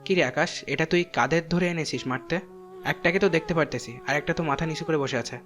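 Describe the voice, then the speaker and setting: A man acts as a street gangster in an audio drama. His voice sits somewhat high for a man, and he talks in an informal, tough way. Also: a little fast, fairly bright tone, close to an everyday voice. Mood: swaggering, menacing. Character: swaggering male gangster, loyal to his boss.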